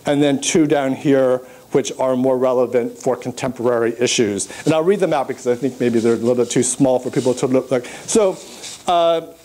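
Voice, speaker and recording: A man born in Burma giving an academic conference talk on art, pausing often with uh and um as he walks through his slides. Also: medium pitch, warm tone, low-quality recording. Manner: halting, many uh and um fillers, pointing to slides. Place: lecture room at a conference.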